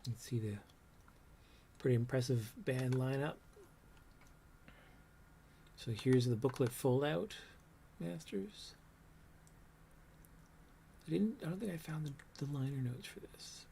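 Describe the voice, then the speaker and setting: A man in his fifties with a low, soft voice, reminiscing casually on a livestream about his old art, with many ums and trailing thoughts. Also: takes it slowly, vocal fry, pauses often, a little hiss or hum. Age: 50s. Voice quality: low, soft voice that doesn't project. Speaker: comics artist showing his old work.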